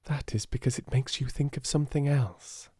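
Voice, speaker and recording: A man's voice: mid-range voice, moderate pace, dark tone, husky, dry recording, pitched above the performer's own voice. A man voicing a brave, imaginative little girl with a British accent, earnest and kindly in a storybook reading.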